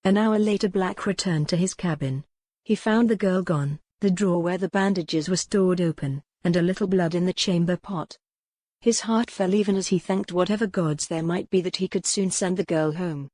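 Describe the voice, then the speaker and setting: A woman's voice: lowish voice, normal speed, dark tone, no echo. A woman reading scripted fiction as a dramatic audiobook narrator.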